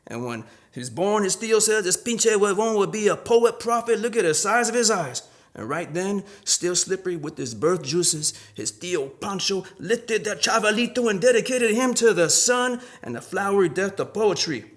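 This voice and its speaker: Male poet from Arizona, slightly high-pitched, for readings and talk on his own poetry. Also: expressive, moderate pace. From Arizona, United States.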